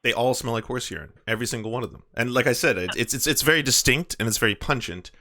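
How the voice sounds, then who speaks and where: A man's voice: mid-range voice, animated, fast talker, bright, forceful tone. A man making a prank phone call, complaining with earnest, indignant insistence. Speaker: prank caller posing as churchgoing parent.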